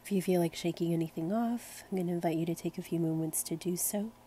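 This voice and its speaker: A woman leading a guided meditation in a gentle, soothing, unhurried manner. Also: fairly low pitch, a little hiss or hum. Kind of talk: slow guided meditation narration.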